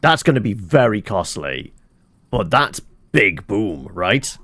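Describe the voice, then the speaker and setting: A man's voice: lowish voice, sing-song, takes it slowly, fairly bright tone. A man giving casual let's-play commentary, muttering his thoughts aloud as he plays and swearing now and then. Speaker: gaming channel host.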